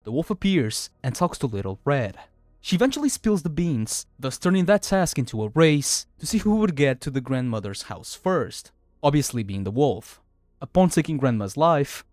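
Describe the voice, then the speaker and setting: A man's voice: mid-range voice, darkish tone, dry recording. A man reading scripted video essay narration in a dramatic storytelling manner.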